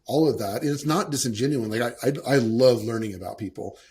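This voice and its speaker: A man's voice: medium pitch, fairly quick, darkish tone. A man hosting a podcast, speaking earnestly in casual conversation and often repeating words as he forms his thoughts. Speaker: podcast host.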